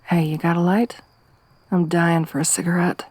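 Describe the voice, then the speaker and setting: A woman voicing a tough, wry young survivor woman in a soft-spoken ASMR roleplay, with a dry, teasing, gruff manner. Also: lowish voice, flat delivery, moderate pace, dry recording. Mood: low-key, wry.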